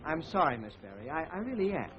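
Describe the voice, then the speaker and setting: A man's voice: a little high, sing-song, quick pace, faint music behind. An actor playing an earnest, bashful young man with a self-deprecating manner in 1930s melodrama style. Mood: apologetic. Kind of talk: 1930s melodrama film acting. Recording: muffled, very noisy old film soundtrack.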